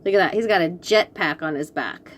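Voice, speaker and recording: A woman reading aloud to young children as host, expressive and encouraging, with chatty, teacherly asides. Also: on the low side, fairly quick, slight background noise. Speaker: children's story reader and host.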